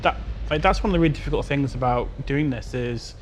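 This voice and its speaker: A man's voice: mid-range voice, quick pace, a little hiss or hum. A man giving an unscripted interview answer as a game developer, explaining in a hedging way and often repeating "you know".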